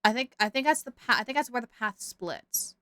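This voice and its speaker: A woman's voice: on the high side, lively intonation, brisk, sharp tone, slight background noise. A woman live streamer giving casual, chatty commentary on a video game, thinking aloud and repeating herself.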